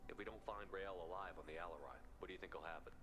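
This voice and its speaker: A man's voice: low voice, racing, background noise, close to an everyday voice. A man playing a stern, direct military commander in a video game, asking probing questions. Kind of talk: video game dramatic dialogue.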